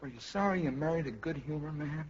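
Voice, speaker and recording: A man's voice: a little high, quick pace, natural voice. Man playing haunted, fretful ice cream man. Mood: anguished. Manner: fretful, melodramatic, brooding. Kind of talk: 1950s radio comedy acting. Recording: dull, very noisy 1950s radio recording.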